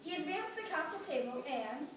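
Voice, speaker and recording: A woman's voice: high voice, very animated, normal speed. A woman narrating a verse tale as part of a readers theatre performance. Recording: distant, very echoey room, faint noise.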